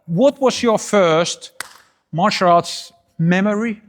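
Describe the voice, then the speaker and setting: A man's voice: sits high, takes it slowly. A man hosting a podcast, asking friendly, chatty questions with lots of fillers. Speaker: podcast host. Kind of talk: casual interview.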